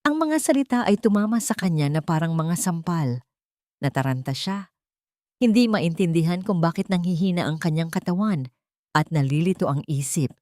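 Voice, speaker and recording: Babaeng tagapagsalaysay ng drama, malalim ang boses, tuyong rekording sa studio. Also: female voice, lowish voice, normal speed, no room sound. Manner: steady, dramatic storytelling. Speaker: narrator. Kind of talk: scripted audiobook drama narration.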